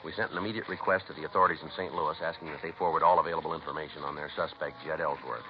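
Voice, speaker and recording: An American man playing a terse, matter-of-fact police detective in a 1950s radio drama, with a clipped, deadpan, businesslike delivery. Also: extremely low pitch, racing. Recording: noisy, muffled 1950s radio recording.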